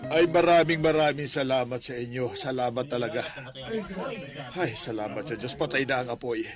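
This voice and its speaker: A man's voice: medium pitch, moderate pace, music in the background, natural voice. An actor playing a devout, firm and grateful elderly Filipino shopkeeper and father in a religious radio drama. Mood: relieved, grateful.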